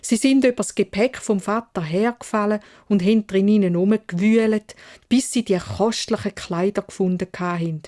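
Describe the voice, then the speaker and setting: A woman's voice: mid-range voice, normal speed, dark tone. A woman with a Swiss German accent narrating a children's fairytale in dialect as a storyteller.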